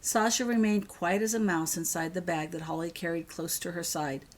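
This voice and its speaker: A woman's voice: fairly low pitch, steady tone, moderate pace. A woman reading her own story as scripted audiobook narration.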